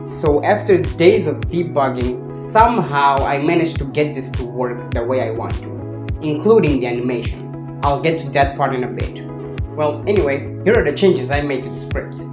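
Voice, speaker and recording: A young man presents a scripted programming lesson with a playful, step-by-step delivery. He speaks into a handheld mic in an echoey indoor room. Music plays clearly underneath his voice. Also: fairly high pitch, normal speed. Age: young adult. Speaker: tutorial host. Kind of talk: scripted coding tutorial narration. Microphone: handheld microphone with furry windscreen. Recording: roomy echo, music underneath. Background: background music.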